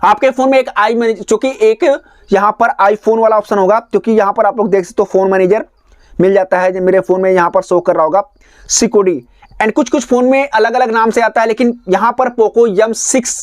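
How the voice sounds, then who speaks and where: A man's voice: high-pitched, fairly even intonation, brisk, dry recording, clean studio sound. A man narrating a step-by-step phone tutorial in a repetitive, instructional way. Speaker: tech tutorial host.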